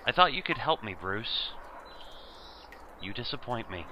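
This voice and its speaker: A man's voice: medium pitch, very animated, unhurried, sharp tone, slight background noise, heavily acted. A man acting a nerdy, bullied teenage schoolboy in an amateur audio drama, with a theatrical, wordy, pleading manner. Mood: bitter.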